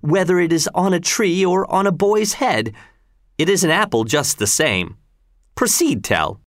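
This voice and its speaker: Casting: audiobook reader as imperious, cruel male governor; mocking, lifted pitch with big swings, dry sound. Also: animated, measured pace, dry recording, natural voice. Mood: stern, taunting. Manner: mocking, commanding, falsely reasonable.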